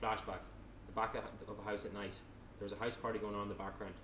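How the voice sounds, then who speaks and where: A man narrates a screenplay at a table read in a plain, matter-of-fact way. His voice is lowish and very even in pitch. It is picked up from far off in a noisy, echoey room. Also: fast talker. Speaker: narrator reading stage directions at a table read. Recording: echoey room, distant mic, noisy background.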